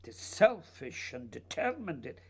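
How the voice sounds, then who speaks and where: An actor playing an earnest, restless writer, needy and intense, in a theatrical, pleading radio drama style. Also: male voice, fairly high pitch, big pitch swings, normal speed, slight background noise. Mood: restless, brooding. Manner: theatrical, pleading, literary phrasing. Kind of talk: radio play acting.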